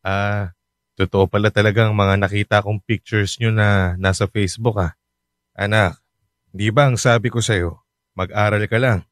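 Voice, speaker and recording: A man's voice: on the low side, steady tone, unhurried, pauses often, dry recording, studio quality, natural voice. A man playing a stern overseas father. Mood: dismayed. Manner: scolding, stern, exasperated. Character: worried strict father working abroad. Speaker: father on a long-distance call. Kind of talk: radio drama acting.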